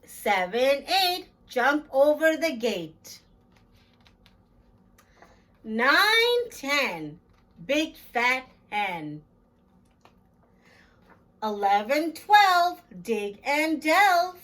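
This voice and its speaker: A woman's voice: high voice, big pitch swings, very slow, pauses often, fairly bright tone. A woman hosting a children's read-aloud, speaking expressively and straight to her young listeners. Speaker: children's story-time host and reader.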